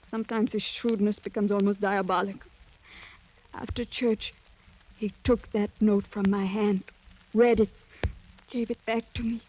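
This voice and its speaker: A woman's voice: mid-range voice, little pitch movement, a little slow, long pauses, a little mellow, slight background noise, lightly acted, low-quality recording. An actress playing a proud, demure young French noblewoman in a radio drama, speaking formally and earnestly with dignity. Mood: troubled, hesitant. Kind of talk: 1940s radio drama acting.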